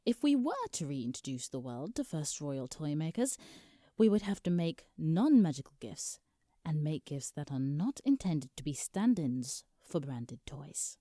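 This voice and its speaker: British female lawyer, played by an actress, audio comedy. Mellow, close dry sound, businesslike and put-upon. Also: deep voice, big pitch swings, unhurried, no room sound. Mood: dry, explanatory. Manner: formal, explanatory, dry. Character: exasperated, businesslike female lawyer for Santa Claus. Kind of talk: scripted comedic audio roleplay.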